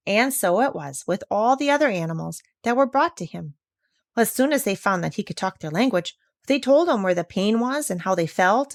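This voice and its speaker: A woman narrates a children's book with lively, varied intonation and drawn-out emphasis on key words. Her pitch sits in a middle range for a woman. The recording is dry and close. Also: no echo. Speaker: audiobook reader. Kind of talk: scripted children's story narration.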